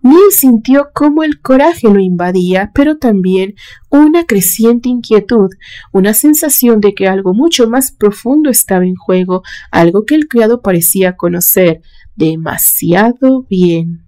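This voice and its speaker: Mellow-voiced woman narrating suspense. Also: medium pitch, expressive, measured pace. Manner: solemn, suspenseful storytelling. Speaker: narrator, literary channel host. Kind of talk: dramatic scripted story narration.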